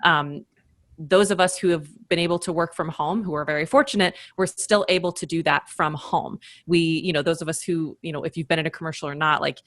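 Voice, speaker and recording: Woman, very low voice, enthusiastic panel talk, dry. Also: fairly even intonation, brisk, fairly bright tone, dry recording. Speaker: voice actor and podcast producer. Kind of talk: casual panel chat.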